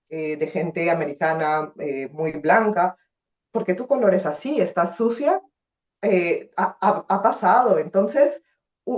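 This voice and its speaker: A young woman from Peru with a Peruvian accent tells her story in an interview. She talks in an expressive, animated way, with frequent "eh" and restarts. The sound is roomy and a little dull. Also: medium pitch. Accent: Peruvian Spanish. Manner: expressive, many fillers and restarts. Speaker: guest.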